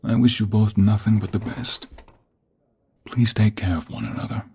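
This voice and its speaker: A man playing an ageing mobster father in an intimate audio roleplay, weary, stern but softening, speaking in a measured paternal way. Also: lowish voice, steady tone, a little hiss or hum, lightly acted. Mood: tender, proud. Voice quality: husky, gravelly.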